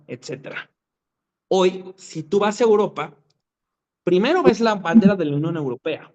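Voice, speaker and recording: A man talking as a guest on an online talk show, emphatic, repeating his points for effect. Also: sits high. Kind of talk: conversational commentary. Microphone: video call. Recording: muffled lo-fi audio.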